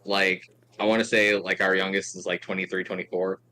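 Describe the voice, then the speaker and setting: A man's voice: deep voice, level tone, brisk, bright, forceful tone. A man in his thirties chatting casually, with a joking manner and wry exaggeration. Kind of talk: casual chat.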